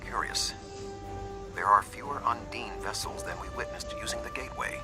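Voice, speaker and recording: A man voicing a calm, logical alien admiral in a video game, measured and formal. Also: fairly low pitch, over loud music, lightly acted. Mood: composed, grave. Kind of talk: video game character acting.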